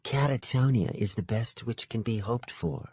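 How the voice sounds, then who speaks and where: A man's voice: medium pitch, normal speed, dark tone, slightly rough, no room sound, lo-fi sound, close to an everyday voice. A man voicing an elegant, stately royal fae sister who speaks formally.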